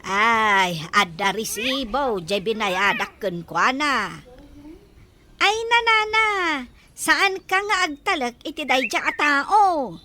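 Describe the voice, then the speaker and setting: A woman's voice: high-pitched, big pitch swings, takes it slowly, bright, forceful tone, heavily acted. A female actor playing a plaintive, put-upon village daughter in a radio drama, whining and exclaiming with an Ilocano accent. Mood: indignant, nosy. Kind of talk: Filipino radio drama acting.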